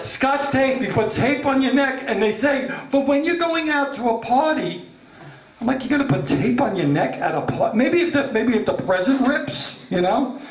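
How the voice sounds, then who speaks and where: A man's voice: very high voice, lively intonation, moderate pace. A man in his late fifties with a New York accent doing a stand-up comedy set, brash and self-mocking, swearing freely and acting out the voices he quotes. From Long Island, New York. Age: 50s. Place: comedy club stage before a laughing audience. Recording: echoey club room, loud background noise.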